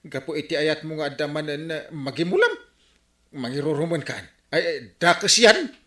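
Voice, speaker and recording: A man playing an exasperated, scolding village captain in an Ilocano radio comedy drama, animated and full of reproachful exclamations. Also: fairly high pitch, moderate pace, echoey room.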